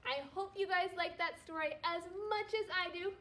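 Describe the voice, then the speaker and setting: A woman's voice: very high-pitched, moderate pace, bright, forceful tone. A woman, a primary school teacher, reading a storybook aloud to young children. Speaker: second grade teacher.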